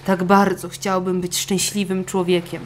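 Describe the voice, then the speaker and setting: A woman's voice: lowish voice, flat delivery, measured pace, a little hiss or hum, pitched above the performer's own voice, natural voice. A woman voicing a meek, downtrodden poor fisherman in a children's storybook, timid and pleading. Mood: tearful.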